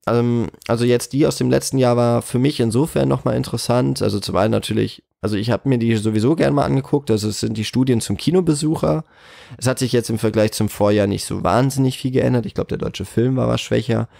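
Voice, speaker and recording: A man in a casual interview as a guest, slightly croaky from a cold, speaking in a hesitant, reflective way. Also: medium pitch, fairly even intonation, fast, dark tone, dry recording, clean studio sound. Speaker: interview guest, cinema manager.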